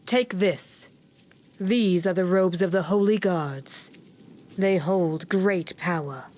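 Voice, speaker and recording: A woman playing an imperious, condescending high priestess in a video game, pious and measured. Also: a little mellow. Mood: commanding. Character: haughty, pious high priestess, disdainful of commoners.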